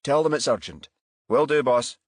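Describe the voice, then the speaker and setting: A man voicing a brisk, irritable detective in charge, clipped and commanding, in a crime audiobook. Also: medium pitch, animated, no room sound, clean studio sound, pitched above the performer's own voice, lightly acted.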